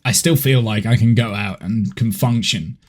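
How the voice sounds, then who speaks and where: A man's voice: lowish voice, moderate pace, darkish tone. A man in his thirties with a head cold, chatting casually and rambling as he streams a horror game from home. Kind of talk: casual gameplay chat on a live stream.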